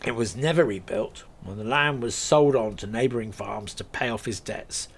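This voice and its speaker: A man's voice: mid-range voice, normal speed, lightly acted. A man reading aloud, voicing a cheerful, teasing farmer in his forties who chats freely. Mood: jovial. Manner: jovial, teasing, chatty.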